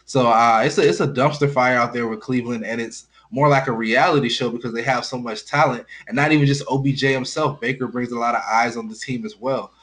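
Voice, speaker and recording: A man's voice: medium pitch, steady tone, a little fast, few pauses, a bit bright. A man co-hosting a sports talk show, giving casual analysis in a frank, blunt and informal way.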